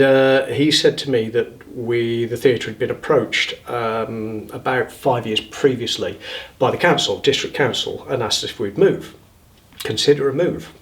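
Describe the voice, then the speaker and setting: A middle-aged or older man explaining past events in a halting, unscripted interview. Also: medium pitch, distant mic. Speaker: theatre committee interviewee.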